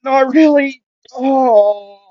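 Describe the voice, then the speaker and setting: A man acts out a reckless teenage boy hero from an anime-style story. He is brash and cocky, casually exclaiming his lines a little higher than normal talk. The sound is dry and dull. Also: slow pace, no room sound. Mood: pained.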